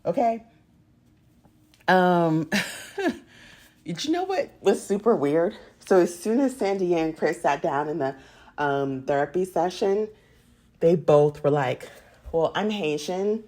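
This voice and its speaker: A woman's voice: fairly low pitch, expressive, slow pace, pauses often, darkish tone. A woman from the United States hosting a TV recap, chatting casually and candidly to viewers with strong opinions. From United States, raised in a Jamaican household. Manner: candid, opinionated, laughs easily while talking. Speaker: TV recap show host.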